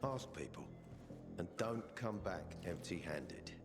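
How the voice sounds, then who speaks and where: An actor playing a stern male peacekeeper officer who speaks in a firm, measured, commanding way. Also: deep voice, big pitch swings, full character voice. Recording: game cutscene with music bed.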